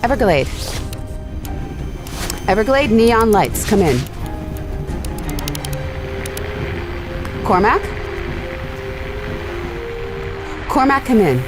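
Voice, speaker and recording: A woman's voice: fairly high pitch, sing-song, a little slow, music in the background. A woman playing an earnest fledgling starship commander in a science fiction audio drama, moving between hesitance and firm command. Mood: tense, focused. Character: fledgling female starship commander, earnest, unsure yet determined.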